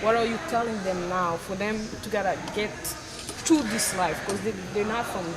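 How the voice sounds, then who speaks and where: Excited interviewer guy, stumbles over words, loud noisy venue behind. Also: high voice. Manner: enthusiastic, halting phrasing. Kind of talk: interview questions. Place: event venue after a concert. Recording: loud background noise.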